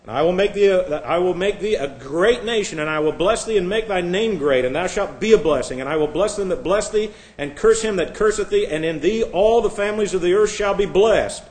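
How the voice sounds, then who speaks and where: A man from Indiana delivers a sermon in an echoey sanctuary, his voice sitting a little high for a man. He talks to the congregation like old friends, wandering into jokes and asides. When he reads scripture, he switches to a raised, formal voice. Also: expressive, fairly quick, few pauses, darkish tone. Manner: conversational preaching with asides and self-deprecating jokes. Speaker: pastor. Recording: echoey room.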